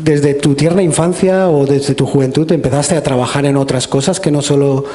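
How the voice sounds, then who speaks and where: A man hosting a church interview who asks gentle, encouraging questions and sums up what his guest has said. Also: on the high side, steady tone, moderate pace.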